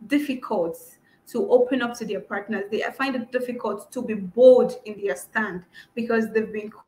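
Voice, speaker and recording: A woman's voice: on the high side, normal speed, a little mellow. A woman hosting an interview on a video call. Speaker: host. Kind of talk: hosting a live-stream interview. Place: indoor room, on a video call. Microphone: video call device mic. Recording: echoey room, noisy video call audio.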